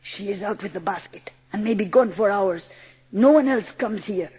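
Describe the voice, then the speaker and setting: A woman voicing a gentle, watchful Indian manservant with formal, reverent phrasing in a dramatised audiobook. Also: medium pitch, normal speed, slightly rough, long reverb tail. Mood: earnest, hushed.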